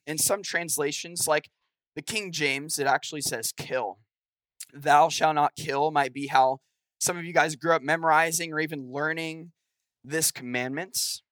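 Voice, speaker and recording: A man's voice: on the high side, fairly bright tone, no echo. A young man with a scratchy voice from a cold, preaching a sermon to a congregation as guest preacher.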